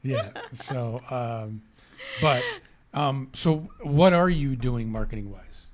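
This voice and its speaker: A man's voice: medium pitch, takes it slowly. A man hosting a podcast, chatting casually and teasing his guest. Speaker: podcast host. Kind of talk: casual interview.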